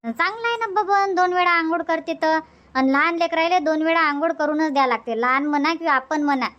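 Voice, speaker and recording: High, dull-sounding grumbling cartoon grandma acted by a woman, Varhadi Marathi accent. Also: brisk, a bit bright. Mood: chatty. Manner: chatty, complaining, repetitive. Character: grumbling, talkative village grandmother. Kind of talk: cartoon comedy dubbing. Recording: dull, lo-fi, faint noise.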